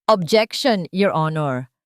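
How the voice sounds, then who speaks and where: A woman voicing a combative, desperate defense lawyer in a courtroom audio drama, forceful and indignant. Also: fairly low pitch, animated, slow, no echo, clean studio sound.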